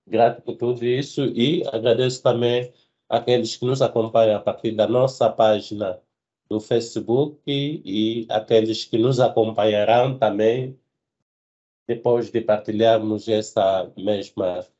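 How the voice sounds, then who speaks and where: Formal low-voiced male moderator. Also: fairly even intonation, a little slow, dark tone, muffled recording. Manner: formal, repetitive phrasing with self-corrections. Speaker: host, moderator. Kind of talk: formal event introduction.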